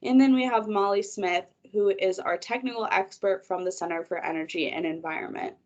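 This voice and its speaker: A woman presents a webinar over a video call, her voice congested from a cold. She keeps an organized manner as she guides listeners through her slides, at a middle pitch. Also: brisk, dull audio. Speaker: project manager presenting a webinar.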